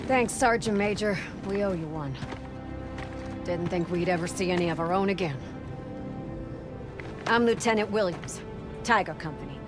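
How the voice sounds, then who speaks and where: A woman plays a no-nonsense army lieutenant from a video game. The voice is on the low side, dry and businesslike, battle-weary and skeptical. Music plays behind it. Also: normal speed, long pauses, lightly acted. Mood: respectful. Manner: dry, businesslike military delivery. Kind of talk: video game cutscene acting.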